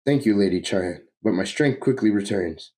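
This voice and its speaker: A man's voice: fairly low pitch, lively intonation, moderate pace, a little mellow. A man voicing a noble, stern sorcerer lord in a grand, formal manner, reading from a comic book. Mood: composed. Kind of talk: comic book read-aloud.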